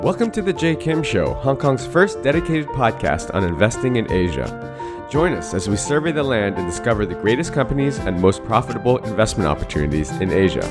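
Low-pitched male podcast host. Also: big pitch swings, brisk, loud music behind the voice. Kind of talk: scripted intro and casual interview.

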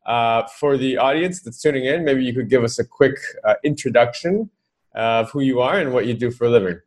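A man's voice: mid-range voice, a little fast. A man hosting a podcast interview, asking long rambling questions with fillers. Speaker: podcast host. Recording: faint music behind the voice.

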